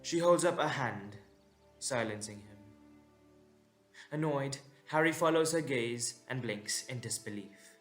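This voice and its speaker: A man's voice: medium pitch, animated, unhurried, a bit bright. A man narrating a scripted audio drama in a dramatic storytelling style. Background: instrumental music.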